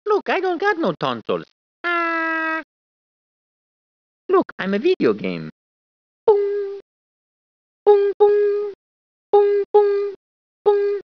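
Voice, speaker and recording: A bouncy, wisecracking video game plumber with a thick put-on Italian accent, swooping wildly high and low and squealing in delight. He drawls his lines slowly and clowns constantly. The clips sound dry and a little dull. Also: big pitch swings, dry recording, exaggerated voice. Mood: playful. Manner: exaggerated, playful, clowning with squeals and laughs. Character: cheerful plumber man, jokey, eager and bouncy.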